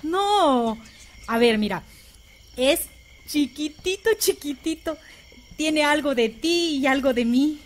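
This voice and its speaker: Actress as high-voiced tender Mexican young mother. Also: expressive, slow pace, pauses often, slight background noise. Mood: playful, happy. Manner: affectionate, sweet diminutives. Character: tender young village wife and mother, loving. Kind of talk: audio drama acting.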